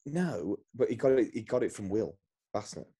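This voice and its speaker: A man's voice: on the low side, animated, normal speed, dark tone, breathy edge. A man co-hosting a casual podcast, easygoing and mostly giving brief replies. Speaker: podcast co-host. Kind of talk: casual chat.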